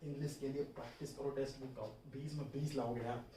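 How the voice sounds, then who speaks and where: A man's voice: mid-range voice, steady tone, fast, dark tone. A young man giving an informal exam strategy lesson, instructive and colloquial, repeating points for emphasis. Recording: roomy, distant mic, faint noise.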